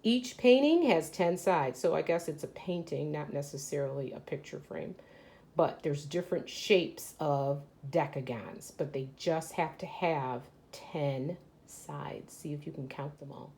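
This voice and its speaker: A woman's voice: sits low, measured pace, warm tone. A woman teacher reading aloud to children and explaining as she goes, often asking questions and answering them herself. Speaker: elementary school teacher. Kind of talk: read-aloud lesson for children.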